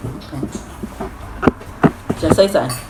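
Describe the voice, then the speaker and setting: Deep-voiced London woman, slangy. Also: animated, a little slow, heavy background noise. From East London. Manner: slangy, laughs often, talks to viewers and strangers. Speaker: YouTuber. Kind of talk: casual chat with strangers on a video app.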